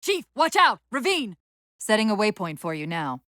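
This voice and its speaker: An actress playing a female military AI companion in a video game, urgent and witty but fraying under strain, with crisp tactical delivery and dry sarcasm. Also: mid-range voice, big pitch swings, normal speed, bright, forceful tone, dry recording. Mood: urgent, commanding. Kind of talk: dramatic game voice acting.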